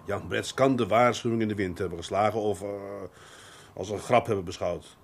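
A man acting in a radio drama as a seasoned police detective who lectures his younger colleague in a measured, pedantic way. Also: lowish voice. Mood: firm, reasoning.

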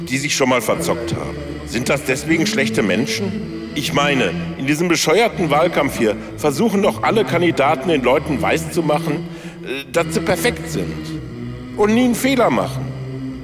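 A brash populist candidate in a radio satire. He speaks bluntly and colloquially, with a raised, animated voice. His words ring through a hall with music behind. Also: on the high side, moderate pace. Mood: defiant, frank. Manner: plain-spoken, colloquial, combative. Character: brash, blunt populist chancellor candidate and Schalke football fan. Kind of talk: radio satire political speech.

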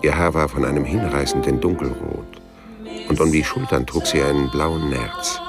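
A man's voice: very deep voice, fairly even intonation, moderate pace, loud music behind the voice. An actor playing a laconic, world-weary private detective who narrates his story dryly in a noir radio drama. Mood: dry, wry. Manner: dry, laconic. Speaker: narrator and lead character.

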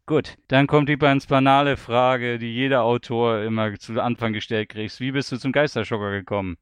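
Guy hosting a casual interview, really clear smooth voice, dry close sound. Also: mid-range voice, steady tone, moderate pace, no room sound. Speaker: host.

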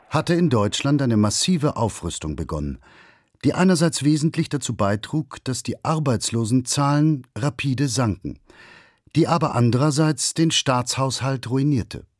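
A man's voice: medium pitch, a little mellow, dry recording, clean studio sound. A man reading a scripted historical documentary as its narrator.

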